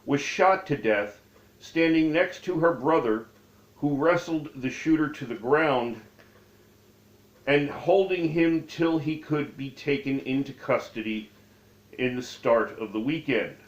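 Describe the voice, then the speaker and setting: A man reading aloud from his own memoir as its author, with deliberate, halting phrasing. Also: medium pitch. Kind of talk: read-aloud memoir narration. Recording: dull, echoey room recording.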